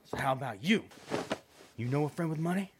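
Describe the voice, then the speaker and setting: Brief: actor as a greedy low-life kidnapper, coarse and threatening, with music under him. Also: male voice, animated, brisk, noisy background, close to an everyday voice. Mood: menacing. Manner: rough rural slang, menacing. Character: crude greedy male kidnapper thug. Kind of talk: amateur audio drama acting.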